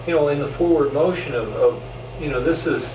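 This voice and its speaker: A man with a hoarse, fading voice talks earnestly about self-improvement, stumbling over repeated words as he goes. His voice sits a bit high, and he is picked up from some distance in an echoing room. The sound is dull, with a steady hiss of noise underneath. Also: fairly quick. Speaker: host of a self-improvement video show. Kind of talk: casual motivational monologue. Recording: roomy, distant, dull and noisy.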